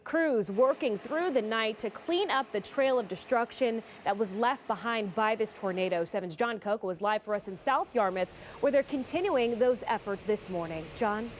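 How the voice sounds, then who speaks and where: A female news anchor reads a scripted introduction in a fairly high voice, with faint noise in the background. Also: moderate pace.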